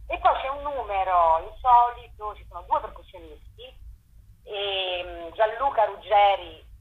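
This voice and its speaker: A woman's voice: mid-range voice, measured pace, slightly rough, narrow, tinny audio. A woman curator explaining a festival program in a radio interview, thinking aloud with frequent fillers.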